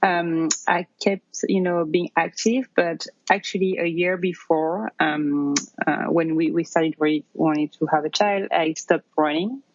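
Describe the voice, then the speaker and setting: A woman's voice: on the low side, steady tone, unhurried, hardly any pauses, dry recording, lo-fi sound. A woman in her thirties from France with a French accent, telling her story as an interview guest, hesitant and full of fillers. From France, near Paris. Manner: hesitant, many fillers and restarts. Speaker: podcast guest.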